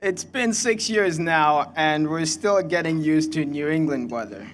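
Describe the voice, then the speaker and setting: Young Congolese-accented man, higher-pitched, speechmaking. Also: a little slow, noisy background. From Kinshasa, Democratic Republic of the Congo. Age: young adult. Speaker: student commencement speaker. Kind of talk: prepared graduation speech.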